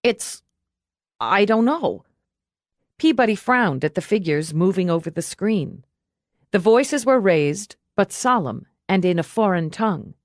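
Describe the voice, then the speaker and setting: A woman narrates a novel in a fairly low voice. The recording is very dry and close, with no room around it, and the delivery is that of scripted audiobook storytelling. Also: lively intonation, normal speed, no room sound.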